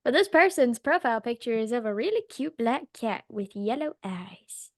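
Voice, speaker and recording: A woman's voice: fairly high pitch, big pitch swings, normal speed, no echo, studio quality. A woman co-hosting a casual podcast chat, sick with a voice that cuts in and out, blunt and swearing freely. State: sick, voice going in and out. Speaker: podcast co-host.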